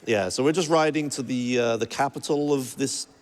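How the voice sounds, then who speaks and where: A young man giving casual, upbeat commentary on a speedrun, laughing often and stacking quick yeahs. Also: mid-range voice.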